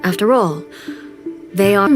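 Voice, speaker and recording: A woman playing a stern, regal immortal ruler in a video game, speaking in a formal, measured, commanding manner. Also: medium pitch, natural voice. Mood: calm, reflective. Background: game music.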